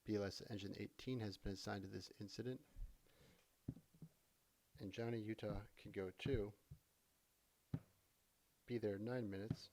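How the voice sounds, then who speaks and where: A man narrating a software tutorial in a casual, conversational way, explaining things step by step as an instructor. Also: fairly low pitch, steady tone, measured pace, long pauses. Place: voice-over for a screen recording.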